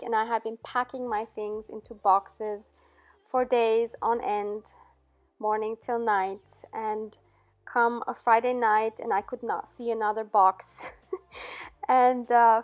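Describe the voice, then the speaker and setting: A middle-aged woman raised in Austria, answering viewer questions in a relaxed vlog with many "um"s and little laughs. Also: on the high side. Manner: chatty, frequent "um" and soft laughs. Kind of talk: casual Q&A chat.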